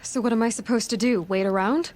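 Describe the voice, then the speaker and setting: A woman's voice: fairly high pitch, fairly quick, a little mellow, natural voice. An actress playing a determined young woman adventurer in a video game, dry and blunt in her delivery. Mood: impatient. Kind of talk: video game voice acting, conversational dialogue.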